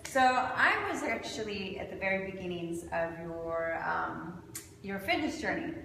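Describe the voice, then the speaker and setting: A woman's voice: mid-range voice, animated, measured pace, vocal fry, a bit bright. A woman hosting a casual interview show, chatting in an upbeat, enthusiastic and encouraging way. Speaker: show host. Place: echoey indoor clothing shop. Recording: echoey reverberant room, distant, noisy.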